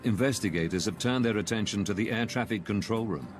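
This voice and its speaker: A man reading scripted documentary narration in a measured, serious manner. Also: on the low side, faint music behind.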